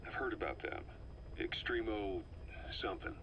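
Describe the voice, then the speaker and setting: Low, muffled gruff soldier played by a man. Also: moderate pace, lightly acted. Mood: curious, businesslike. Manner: matter-of-fact, conversational, terse. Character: gruff, businesslike middle-aged male military comrade. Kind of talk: video game voice acting. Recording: dull cassette-tape sound with hiss.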